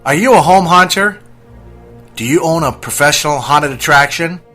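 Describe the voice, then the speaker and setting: A man's voice: a little high, moderate pace, pushed and bright, faint music behind. A man reading a scripted radio advertisement as a commercial announcer.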